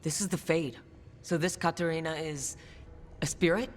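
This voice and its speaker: An actress playing a steady, inquisitive adventurer leader in a video game, calm and questioning. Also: female voice, low voice, normal speed, slight background noise, lightly acted. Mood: determined.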